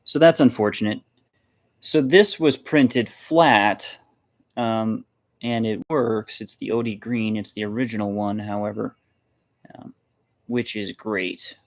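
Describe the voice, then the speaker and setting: A man casually hosting a hands-on demonstration, talking haltingly and muttering to himself as he works. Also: on the low side, fairly even intonation. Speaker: vlog host, maker showing designs.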